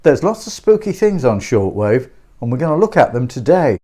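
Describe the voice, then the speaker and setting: Male video host, medium pitch, informal and chatty explainer delivery with repeated short phrases and rhetorical questions. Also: big pitch swings, normal speed, warm tone, soft background music. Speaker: YouTube host and presenter. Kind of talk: informal explainer to camera about a radio hobby.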